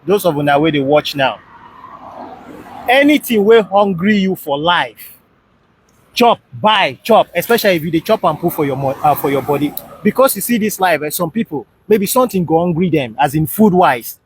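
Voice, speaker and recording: A man's voice: a little high, animated, normal speed, slight background noise. A man with a Nigerian accent in casual, storytelling chat, giving advice and often checking that he is understood.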